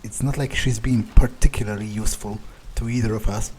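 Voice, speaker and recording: A man's voice: on the low side, fairly even intonation, a little fast, darkish tone, a little hiss or hum, low-quality recording. A man doing in-character video game commentary, thinking aloud in a blunt, muttering, profane way. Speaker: video game player role-playing his character. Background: game music.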